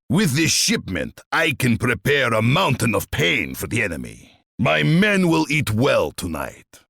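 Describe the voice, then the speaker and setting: A male actor playing a ruthless, boastful warlord tsar in a strategy game, with a gravelly, husky voice and a pompous, menacing, declamatory delivery. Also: medium pitch, a bit bright, no room sound, clean studio sound, exaggerated voice.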